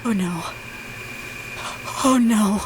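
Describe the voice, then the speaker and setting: A woman's voice: mid-range voice, very slow, background noise. A woman playing an anxious, secretive young woman in an audio drama, hesitant and earnest. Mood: frightened, urgent.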